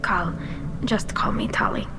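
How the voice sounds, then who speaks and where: An actress playing a young female alien engineer in a video game, earnest and loyal, speaking in a heartfelt, halting way. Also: in a whisper, over music, close to an everyday voice. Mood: guarded, restrained.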